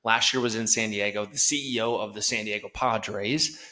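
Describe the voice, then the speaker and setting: A man's voice: fairly low pitch, moderate pace, sharp tone. A man with a Wisconsin accent hosting a podcast, talking earnestly and conversationally and asking follow-up questions. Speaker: podcast host. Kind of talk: casual podcast interview.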